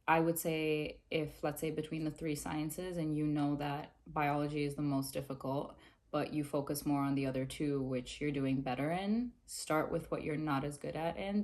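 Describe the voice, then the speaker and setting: Low-voiced young woman giving study advice. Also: a little mellow. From Zambia. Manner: measured, earnest, explains with hand gestures. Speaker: dental student interviewee. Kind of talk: direct-to-camera interview answers. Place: small indoor room, plain wall.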